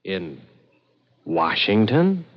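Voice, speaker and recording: A dazed, slow, low-voiced radio-drama victim. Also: male voice, very animated, muffled recording, heavily acted. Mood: bewildered. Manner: slow, halting, stunned. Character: dazed, disbelieving man kept alive as a severed head. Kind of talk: old-time radio suspense acting. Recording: echoing, band-limited old radio recording.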